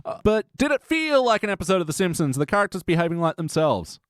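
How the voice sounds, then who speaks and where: A man hosts a casual review podcast with a very animated, swinging delivery. He talks freely and laughs easily between thoughts. The sound is close and dry, with little room on it. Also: sits high, fast talker, no room sound. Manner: chatty, laughs often while talking. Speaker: podcast host.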